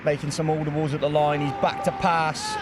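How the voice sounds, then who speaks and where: A man calls a live football game with a high, bright voice, quick to joke and laugh. He is recorded outdoors with clear traffic and ambient noise running underneath the commentary. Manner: lively, jokey play-by-play, laughs easily. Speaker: play-by-play commentator.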